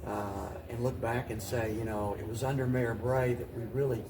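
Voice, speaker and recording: Older male interview guest, civic-leader type, medium pitch, reflective and halting, repeats himself, heard off-mic with voices behind. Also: steady tone, a crowd in the background. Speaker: mayor, talk show guest. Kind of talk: conversational interview answers. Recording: roomy, distant mic, voices in background.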